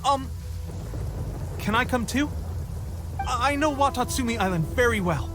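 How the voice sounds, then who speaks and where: An actor playing an eager, loyal young soldier and guide in a video game, speaking earnestly and a little hesitantly. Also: male voice, very high voice, animated, unhurried. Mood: eager, tentative. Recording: game dialogue over music.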